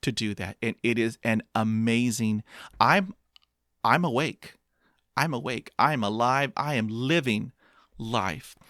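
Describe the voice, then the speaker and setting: A man's voice: mid-range voice, a little slow, fairly bright tone, no echo, clean studio sound. A man in his fifties, a guest in an interview, who stutters with repeated sounds and speaks in an upbeat, motivational way. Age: 50s. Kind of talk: interview conversation.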